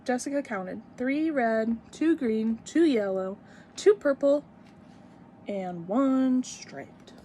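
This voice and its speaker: A woman's voice: fairly high pitch, lively intonation, slow, mellow, slight background noise, poor audio. A woman in her twenties reading a picture book aloud expressively for young children, with teacherly emphasis and asides. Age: 20s.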